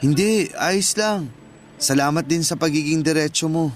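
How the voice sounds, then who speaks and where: An actor playing a polite, earnest young son with a Filipino accent in a radio drama. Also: male voice, on the high side, normal speed, natural voice.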